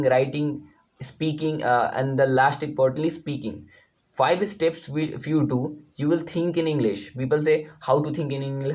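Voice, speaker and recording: A man with an Indian accent, a native Hindi speaker, gives an informal lesson to viewers as an online tutor, explaining in a halting, repetitive, emphatic way. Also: a little high, darkish tone. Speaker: YouTube language tutor.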